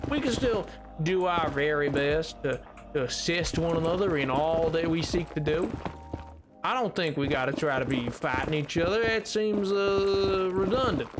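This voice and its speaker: A man's voice: fairly high pitch, normal speed, noisy background, lightly acted. Man putting on a drawling, folksy Southern cowboy. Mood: polite, pleading. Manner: folksy, rambling, repeats himself. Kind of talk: improvised livestream banter. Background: music.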